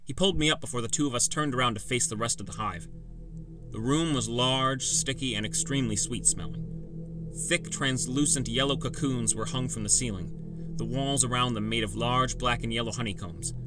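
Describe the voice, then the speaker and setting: A man's voice: mid-range voice, a little fast. A man narrating a first-person fantasy audiobook as a scripted story. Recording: loud music bed.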